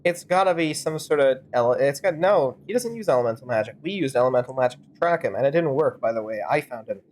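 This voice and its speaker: A woman's voice: very deep voice, lively intonation, quick pace, darkish tone, a little hiss or hum. A woman streamer improvising rambling, sarcastic commentary and role-play while playing a game. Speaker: streamer fan-dubbing a game.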